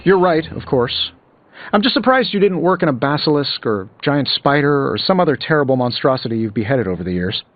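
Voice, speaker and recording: An actor playing a cocky superhero inventor in a video game, sarcastic and quippy. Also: male voice, medium pitch, lively intonation, a little fast, close to an everyday voice. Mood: wry. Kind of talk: video game character dialogue. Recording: slightly muffled, music behind.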